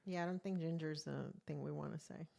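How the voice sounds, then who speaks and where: A woman's voice: deep voice, steady tone, a little fast, vocal fry, dark tone. A woman co-hosting a podcast, chatting casually, teasing and laughing often. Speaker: podcast co-host. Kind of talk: casual chat.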